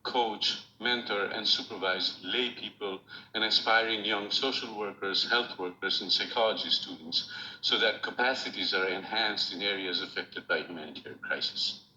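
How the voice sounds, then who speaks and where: A middle-aged man with a Nepali accent, giving a measured, formal acceptance speech from a remote room. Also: lowish voice, bright, forceful tone. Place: room at home, recorded remotely. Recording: roomy, dull lo-fi with faint hiss.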